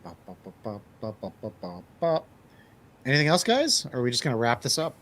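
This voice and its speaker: A man with a mid-pitched voice hosts a movie review show. He chats casually and jokes around, playfully bantering as he goes. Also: wildly swooping pitch, fairly quick, fairly bright tone. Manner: jokey, playful. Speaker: radio show host and DJ.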